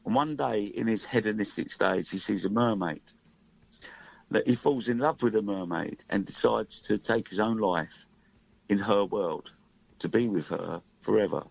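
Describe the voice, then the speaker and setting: A man's voice: lowish voice, normal speed, creaky, a little mellow, dry recording, muffled recording. A middle-aged man, a singer-songwriter guest, chatting casually in a radio studio interview.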